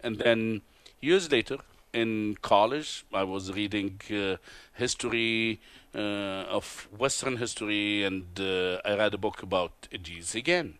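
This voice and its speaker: A man from Tunisia with an Arabic accent, a radio guest telling his life story in an earnest, halting way with many pauses and restarts. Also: lowish voice, fairly bright tone, clear, resonant tone, dry recording. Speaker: guest, TV host and author. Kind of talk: interview testimony. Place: convention broadcast booth.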